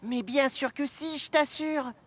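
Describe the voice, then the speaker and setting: High-pitched male engine character, reassuring, kind, gentle, dry sound, French cartoon. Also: fairly even intonation, measured pace, no room sound. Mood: gently reassuring. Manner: gentle, encouraging.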